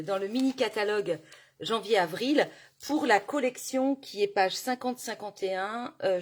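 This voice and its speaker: A woman with a cold and sore throat, hosting a casual craft live stream and greeting viewers by name, chatty with many fillers. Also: medium pitch.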